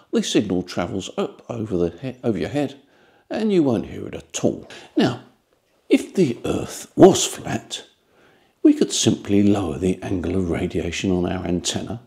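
A man, explaining things informally to camera in a measured way with rhetorical asides. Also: low-pitched, vocal fry, warm tone. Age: 70s. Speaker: ham radio channel presenter.

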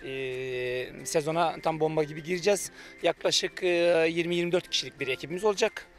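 A middle-aged man from Turkey giving an on-camera interview outdoors by a beach as a cafe manager, speaking into a handheld mic with long drawn-out fillers and confident claims. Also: a little high, faint music behind. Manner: hesitant, many drawn-out fillers, self-assured claims. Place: outdoors by a beach under trees. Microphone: handheld reporter mic.

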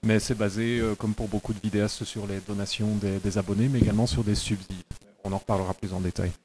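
A man on a festival panel introducing himself as a video creator, halting and stumbling over words. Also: fairly low pitch, level tone, a little mellow, slight background noise. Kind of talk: casual self-introduction.

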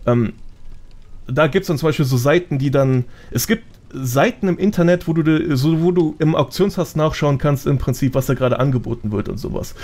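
A man's voice: on the high side, fairly quick, a little mellow. A man with a colloquial, slangy way of explaining things, chatting casually as a guest on a gaming podcast. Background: background music.

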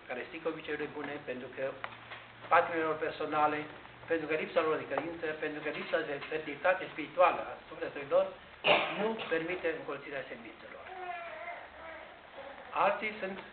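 An older man preaches in a large, echoing church, his fairly high voice bouncing around the room. He explains his points step by step, pausing and restarting as he goes. The sound is thin and phone-like, with a steady hiss underneath. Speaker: priest. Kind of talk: sermon. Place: large Orthodox church interior, indoors. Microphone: far camera. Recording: tinny, echoey church recording with hiss.

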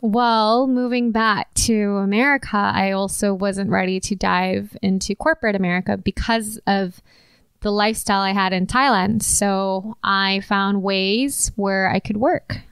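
A woman in her twenties with a Californian accent, a podcast guest talking casually at an unhurried pace with fillers. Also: medium pitch, steady tone, clean tone, dry recording. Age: 20s. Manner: slow, unhurried, with light laughter and fillers. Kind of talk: casual interview conversation.

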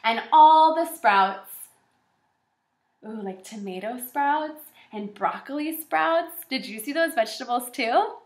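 A woman in her twenties reading aloud and teaching young children as a kindergarten teacher, in an encouraging, animated way. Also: sits high, takes it slowly. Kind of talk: children's read-aloud lesson. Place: small indoor room with shelves and whiteboard. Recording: echoey room.

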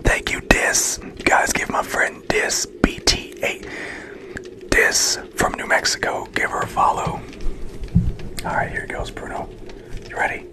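A man's voice: measured pace, long pauses. A young man hosting a casual livestream, greeting viewers and playfully scolding his dog in a whispery voice. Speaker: livestream host.

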